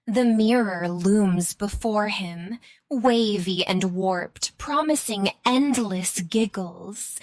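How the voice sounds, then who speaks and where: A woman's voice: medium pitch, no room sound, poor audio. A woman reading scripted narration for a children's bedtime story.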